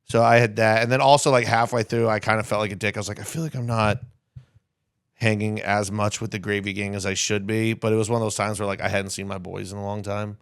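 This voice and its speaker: A man co-hosting a podcast in casual comedic chat, telling stories with lively quoted voices. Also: fairly low pitch, fast, smooth, no echo, clean studio sound. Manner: animated storytelling with put-on quoted voices. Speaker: podcast co-host.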